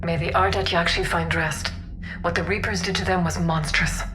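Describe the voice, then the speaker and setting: A woman's voice: fairly low pitch, fairly even intonation, moderate pace, lightly acted. An actress playing a dignified, diplomatic alien stateswoman in a video game, speaking formally and with composure. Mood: sober, formal. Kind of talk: video game cinematic acting. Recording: music behind.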